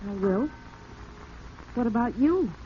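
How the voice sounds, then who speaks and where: A woman's voice: fairly high pitch, animated, slow pace. An actress playing a hard, impatient accomplice who goads her lover, curt and sardonic, in a 1940s radio drama. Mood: cold, insistent. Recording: muffled, hissy 1940s radio recording.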